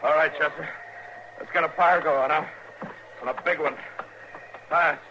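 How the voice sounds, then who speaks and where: A man playing a calm, weary, duty-bound frontier lawman in a western radio drama, plain-spoken and laconic. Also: a little high, measured pace. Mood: urgent, strained. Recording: muffled old radio recording with heavy noise.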